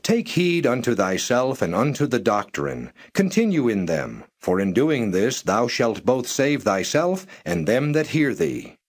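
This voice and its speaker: A man narrating scripture in a measured, solemn and formal reading style. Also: medium pitch, huge pitch swings. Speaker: Bible narrator.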